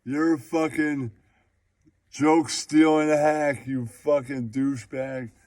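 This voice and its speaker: An angry male heckler, played by a man in a puppet comedy, with a slightly high voice. He berates with drawn-out, profane, repetitive insults. The sound is close and dry. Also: steady tone, darkish tone, dry recording. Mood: furious, abusive.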